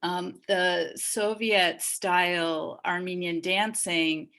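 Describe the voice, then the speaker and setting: A woman from Massachusetts speaking in a halting, thoughtful way in a discussion on a video call. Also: fairly low pitch, steady tone, gravelly, a bit bright. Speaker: dance group leader and panelist.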